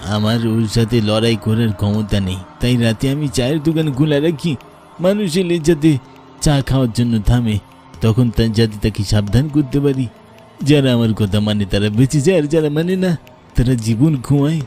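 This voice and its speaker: Mellow, grave ghostly tea seller played by a man, eastern Bengali accent. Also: mid-range voice, moderate pace, faint music behind, lightly acted. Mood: regretful. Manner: grave, warning. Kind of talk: horror cartoon voice acting.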